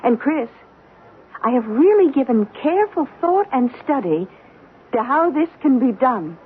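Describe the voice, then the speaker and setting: Brisk young female schemer: very high, animated, insistent, tinny vintage radio drama. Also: breathy edge, exaggerated voice. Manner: insistent, precise. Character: young college-educated woman, brisk, determined schemer. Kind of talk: 1970s radio drama acting. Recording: tinny band-limited 1970s radio.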